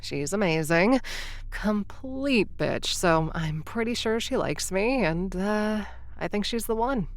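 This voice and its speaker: A woman's voice: medium pitch, animated, slow pace, no echo. A woman playing a scatterbrained young would-be influencer and time traveler, narrating to the listener in a bubbly, impulsive, rambling way. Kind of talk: audio drama narration to the listener.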